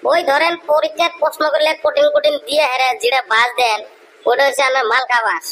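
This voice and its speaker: A man doing a cheeky high schoolboy. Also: flat delivery, bright, forceful tone. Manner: cheeky, quick, argumentative excuses. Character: cheeky young male village schoolboy, backtalking.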